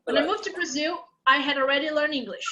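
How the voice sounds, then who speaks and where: A woman's voice: high voice, fast talker, background noise. A woman teaching an English grammar class online, explaining patiently step by step.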